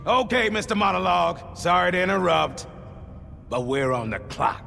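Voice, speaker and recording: A man's voice: high-pitched, animated, normal speed, creaky, music in the background, full character voice. A man playing a cocky, loyal veteran sergeant major in a game, with brash, wry military banter. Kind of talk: cinematic game dialogue.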